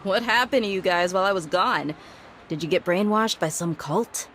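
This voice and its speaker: A woman's voice: on the low side, normal speed, fairly bright tone, a little hiss or hum, close to an everyday voice. A woman voicing a proud, skeptical young swordswoman and bodyguard in a video game, assertive and casual. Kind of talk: video game dialogue acting.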